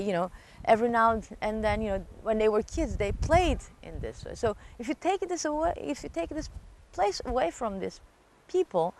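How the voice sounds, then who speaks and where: A woman's voice: on the high side, vocal fry, a little mellow. A woman with a Turkish accent speaking earnestly in an interview as a local resident. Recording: background ambience, noisy location sound.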